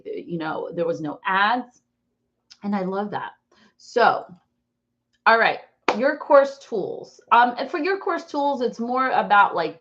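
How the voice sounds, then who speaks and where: A woman in her forties with an American accent, teaching an informal online class in a chatty, rambling, expressive way. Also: on the low side, lo-fi sound. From born in Brazil, raised in New Jersey, US. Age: 40s. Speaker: online course instructor.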